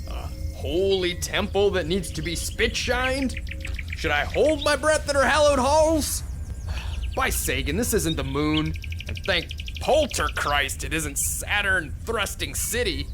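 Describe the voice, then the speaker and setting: An actor playing a brash, cynical space drifter, blunt and sarcastic, in a sci-fi audio drama. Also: male voice, sits high, sing-song, takes it slowly, music in the background, exaggerated voice. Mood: scornful.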